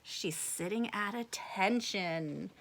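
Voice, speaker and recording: A woman reading a picture book aloud to children in a very expressive way, with asides and questions for young listeners. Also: mid-range voice, takes it slowly.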